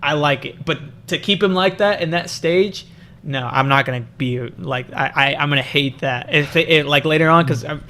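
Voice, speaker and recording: A man hosting a casual film discussion in an excitable, stammering way, often restarting his phrases. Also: a little high, normal speed. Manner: excitable, stammering with repeated starts. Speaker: host of a film review discussion. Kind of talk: casual chat.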